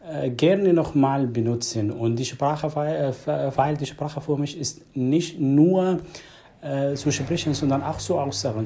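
A man from Syria, speaking haltingly in German about missing his mother tongue, searching for words. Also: medium pitch, darkish tone. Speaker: interviewee. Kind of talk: personal testimony.

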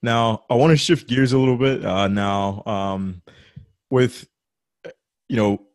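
A man's voice: lowish voice, steady tone, unhurried, darkish tone, no room sound, clean studio sound. A man hosting a casual interview, encouraging his guest and affirming him, with plenty of "uh" and "you know". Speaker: interviewer host.

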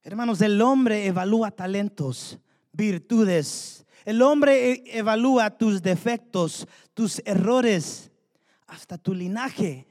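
A man's voice: sits high, animated, slow pace, darkish tone. A man preaching a sermon, fervently asking the congregation rhetorical questions. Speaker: pastor. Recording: long hall reverb.

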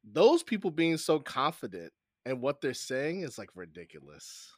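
A man co-hosting a casual podcast chat, expressive and rambling, laughing often. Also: mid-range voice, moderate pace, no room sound, studio quality. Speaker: podcast co-host.

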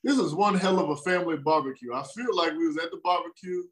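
A man's voice: a little high, crowd noise. A man whose first language is Spanish, joking around as a podcast guest and teasing his hosts. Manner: teasing, playful, quick comic asides.

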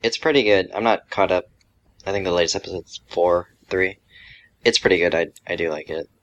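A man's voice: sits low, fairly quick, highs cut off. A man speaking as a podcast co-host in casual, informal conversation.

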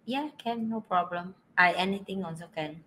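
A woman from Vietnam, co-hosting a podcast in casual chat, animated, with phrasing and easy laughter. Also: lowish voice, normal speed, slight background noise. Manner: animated, broken English phrasing, laughs easily. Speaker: podcast co-host.

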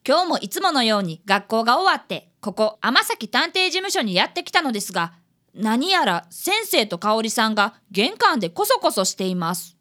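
A woman's voice: fairly high pitch, very animated, moderate pace, bright, forceful tone. A woman playing a polite, earnest schoolboy apprentice detective of about eleven, narrating a comedic radio drama.

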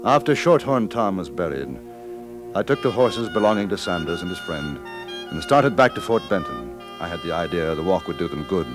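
Courteous British adventurer with animated range. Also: male voice, deep voice, music in the background. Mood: somber, measured. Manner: polite, measured, formal. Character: gentlemanly adventurer and newspaper correspondent, composed, courteous, firm. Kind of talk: 1950s radio drama acting and narration.

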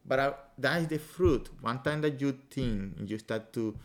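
Earnest man with a Venezuelan accent. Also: medium pitch. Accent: Venezuelan Spanish. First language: Spanish. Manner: earnest, explaining in halting English with many restarts. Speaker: yoga teacher, podcast guest. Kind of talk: casual podcast chat.

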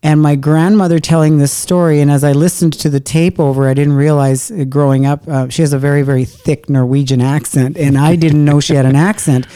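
A middle-aged woman in casual conversation, an earnest storyteller who slows down to stress key moments. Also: low-pitched, steady tone, dark tone, dry recording. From Canada.

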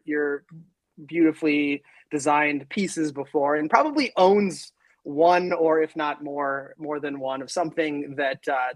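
A man hosting a casual podcast interview, upbeat and friendly and quick to laugh. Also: on the high side, dry recording, poor audio. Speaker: podcast host.

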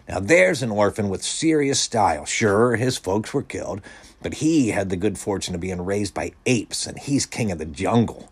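A man voicing an excitable young orphan boy with a piping, exclaiming delivery in a storybook reading. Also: on the low side, moderate pace, hardly any pauses, breathy edge, no echo. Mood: excited, admiring. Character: boyish, exuberant orphan boy who adores jungle adventure.

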